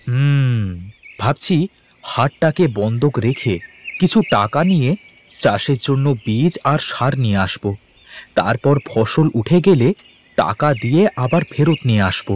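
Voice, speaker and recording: A man's voice: medium pitch, lively intonation, measured pace, slight background noise, natural voice. A man playing a stubborn, aggrieved poor village farmer in a cartoon, speaking emphatically and repeating his phrases. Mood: resigned. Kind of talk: animated cartoon story dialogue. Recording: music behind the voice.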